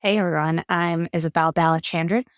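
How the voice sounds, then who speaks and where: A woman with a notably deep voice talks in a relaxed, conversational manner. Her voice is close and dry, with no room sound around it. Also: a little fast, no echo. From United Kingdom, raised in Canada. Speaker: cardiology fellow, guest.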